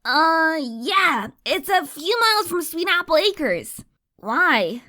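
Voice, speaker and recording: A woman's voice: very high-pitched, sing-song, slow pace, sharp tone, exaggerated voice. A woman voicing a young cartoon filly pony, frightened but earnest and insistent, in an audio drama. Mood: uneasy, pleading. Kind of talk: animated fan fiction audio drama acting.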